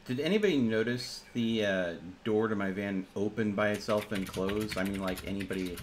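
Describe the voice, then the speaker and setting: A man with a low voice plays a tabletop role-playing game, talking casually and describing his character's actions. The recording has noticeable background noise with keyboard typing. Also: normal speed.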